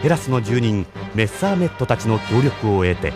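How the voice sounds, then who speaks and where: Solemn story narrator, lowish voice, expressive range, anime drama recap, music underneath. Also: male voice, normal speed. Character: serious male story narrator.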